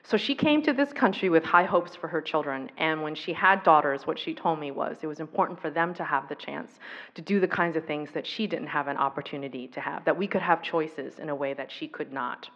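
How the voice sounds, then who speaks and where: A woman's voice: deep voice, fairly bright tone. Earnest woman speaker in an echoey hall. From US East Coast. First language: Taiwanese. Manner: reflective, earnest, with pauses and fillers. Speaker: speaker on violence prevention. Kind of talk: personal keynote talk. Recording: dull recording in an echoey hall.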